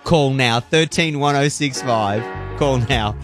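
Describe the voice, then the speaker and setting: A man's voice: mid-range voice, slow pace, fairly bright tone, faint music behind. A man hosting an evening radio show, chatty and playful, teasing his co-hosts.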